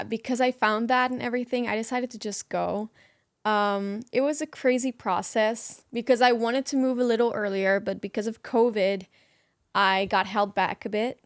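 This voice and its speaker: A young woman from the Netherlands chatting as a guest in an interview, relaxed and talkative with frequent ums. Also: mid-range voice, clear, resonant tone, no room sound, clean studio sound. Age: young adult. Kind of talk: casual interview chat.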